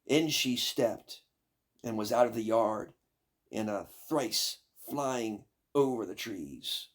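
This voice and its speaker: Older guy reading a fairy tale, raspy creaky voice, takes his time, expressive. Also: lowish voice. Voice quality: gravelly, raspy. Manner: measured, expressive storytelling. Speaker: storyteller. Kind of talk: scripted fairy tale narration.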